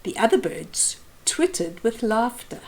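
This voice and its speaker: Middle-aged woman, children's story reader, high lively pitch, slow pace, explanatory, expressive. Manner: deliberate, explaining pictures to young listeners. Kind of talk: expressive picture-book read-aloud for children. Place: small indoor room.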